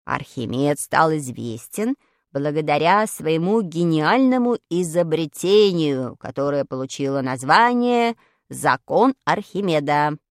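A woman voicing a serious, bossy schoolboy in a children's story, speaking deliberately and emphatically. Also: deep voice, lively intonation, no room sound, clean studio sound, voice pushed down. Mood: explanatory. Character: slow, serious, assertive schoolboy, bossy friend.

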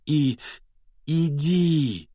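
A man plays a clever young schoolgirl witch in a storybook reading. The voice is lifted high and sits close in a dry space. She is bossy and earnest, explaining things emphatically, yet caring underneath. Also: very slow, mellow, husky, no echo, exaggerated voice. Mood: urgent, tender. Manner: lecturing, emphatic.

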